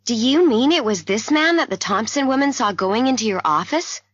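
A woman's voice: a little high, animated, normal speed, breathy edge, no echo, muffled recording, voice pushed up. A woman voicing a headstrong young female amateur sleuth who questions insistently in an audiobook mystery. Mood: shocked, questioning.

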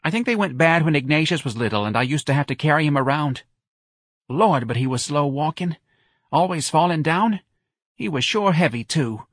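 Man doing a whiny, high-pitched older mother. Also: lively intonation, normal speed, a bit bright, no echo, studio quality. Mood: self-pitying. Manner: plaintive, folksy, complaining. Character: whiny, self-pitying older working-class mother. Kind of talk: audiobook character dialogue.

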